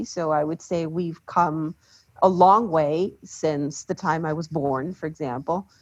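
A woman from Ecuador, a development expert speaking in a measured, deliberate way on a panel. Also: fairly low pitch, breathy edge. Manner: measured, deliberate, trailing off softly. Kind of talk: panel discussion. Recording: dull lo-fi sound.

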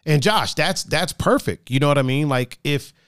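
A man hosting a casual chat show with a raspy voice, talking in a laid-back, profane, joking way and reading out chat comments. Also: a little high, lively intonation, moderate pace, a bit bright, no echo, clean studio sound. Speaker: podcast host.

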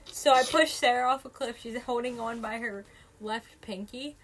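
A woman co-hosting a casual podcast, teasing her friend and tossing out quick jokey comebacks. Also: fairly high pitch. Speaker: podcast co-host. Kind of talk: casual chat.

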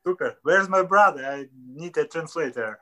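A man's voice: a little high, moderate pace, pushed and bright. A man, a guest runner, giving short answers in basic English. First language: Russian. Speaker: guest, ultrarunner.

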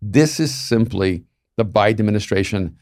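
A man's voice: on the low side, lively intonation, moderate pace, a little mellow. A man from El Salvador speaking emphatically in an opinionated panel discussion, often repeating his points.

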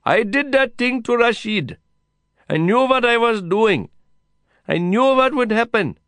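A man voicing a proud, desperate male prisoner, pleading loudly and insistently through a fence. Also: high-pitched, animated, normal speed, smooth, dry recording, voice pushed up. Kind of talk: audiobook character voice.

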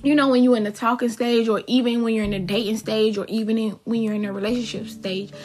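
A woman's voice: a little high, steady tone, fast talker, a little mellow. Chatty thirty-year-old woman over music. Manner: chatty and emphatic, repeats phrases for effect. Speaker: podcast host. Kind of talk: casual solo podcast talk.

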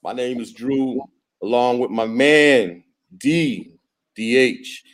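A man's voice: mid-range voice, slow pace. A man hosting a podcast, talking in an enthusiastic, slangy, casual way. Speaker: podcast host.

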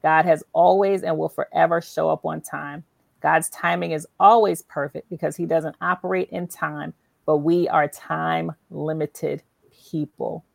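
A woman's voice: deep voice, steady tone, measured pace, creaky, no room sound. A woman hosting a conversational interview, speaking in a reflective, affirming and emphatic way. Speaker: show host and interviewer. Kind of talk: conversational interview, reading aloud from a book.

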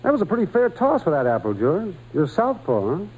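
An actor playing a kindly, patient religious brother at a boys' school, gentle and hesitant, in an old-time radio drama. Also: male voice, high-pitched, wildly swooping pitch, a little fast, husky, exaggerated voice, poor audio. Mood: gentle coaxing.

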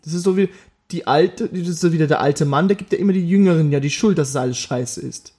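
A man's voice: on the high side, quick pace, warm tone, husky. A man co-hosting a podcast, chatting casually and jokingly, often trailing off mid-sentence. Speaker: podcast co-host. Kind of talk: casual chat.

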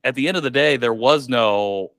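Reflective man, smooth voice. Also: mid-range voice, normal speed, a bit bright, no echo. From United States. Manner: reflective, frequent likes and ums. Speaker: podcast guest. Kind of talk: candid personal storytelling.

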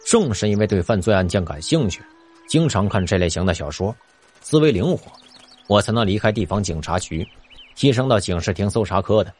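An actor playing an earnest, expressive middle-aged police detective who loves mystery novels, in an audio drama. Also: male voice, lowish voice, a little fast, slightly rough. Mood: earnest, defensive. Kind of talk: multi-cast audio drama acting.

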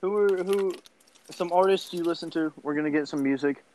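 A man's voice: on the high side, brisk, darkish tone, rough recording. A young man with a slightly raised voice hosts a casual podcast. He keeps things moving with laid-back questions and short replies. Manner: casual, steering the topics with questions. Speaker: podcast host.

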